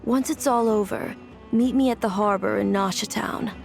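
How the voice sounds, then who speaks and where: A woman's voice: medium pitch, normal speed, gravelly, soft background music, close to an everyday voice. A woman voicing a weary, tough female gang enforcer in a video game, with a dry, world-weary manner. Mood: dry, warning.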